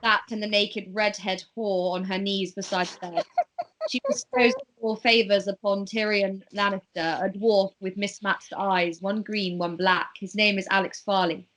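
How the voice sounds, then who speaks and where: A woman reads stage directions aloud as narrator of a script table read. Her pitch is average, and her reading is a little halting, with stumbles over words. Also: a bit bright, slight background noise, poor audio.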